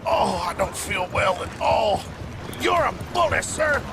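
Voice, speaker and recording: A man's voice: high-pitched, big pitch swings, unhurried, creaky, background noise, full character voice. A man playing a whining, wheedling quack healer on the run, theatrical, florid and pleading, in a video game. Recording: music bed behind game dialogue.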